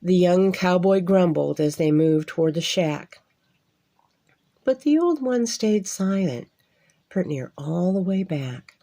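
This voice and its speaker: A woman reading a rhyming Western Christmas tale aloud in an expressive, measured storytelling manner. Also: fairly low pitch. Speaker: storybook reader.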